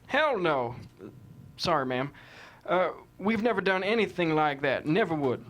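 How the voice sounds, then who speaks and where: A man's voice: a little high, sing-song, a little slow, a bit bright. A man playing an indignant, plain-spoken cattle rancher giving folksy, emphatic testimony in a courtroom drama table read. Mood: defensive.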